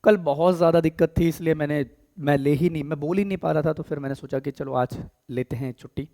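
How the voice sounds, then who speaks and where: A hoarse man with an Indian accent teaching a live online class, speaking gently and slowly and clearing his throat often because of a sore throat. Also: on the high side. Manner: affectionate, calls students "beta", clears throat often; deliberately soft, slow speech. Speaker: online exam-prep English teacher. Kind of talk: live online class, Hindi-English mix.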